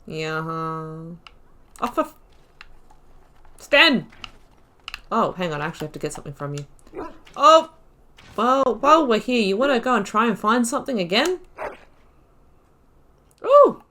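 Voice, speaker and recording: A woman's voice: mid-range voice, sing-song, measured pace, pauses often, pushed and bright, a little hiss or hum. A woman hosting a casual let's play, chatting along and reading dialogue options aloud. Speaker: gaming video host.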